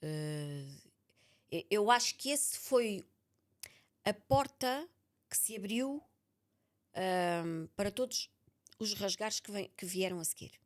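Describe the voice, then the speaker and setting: A woman's voice: mid-range voice, animated, takes it slowly, long pauses. A woman with a European Portuguese accent speaking candidly and reflectively as the guest in a personal interview, often repeating words for emphasis. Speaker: podcast author being interviewed.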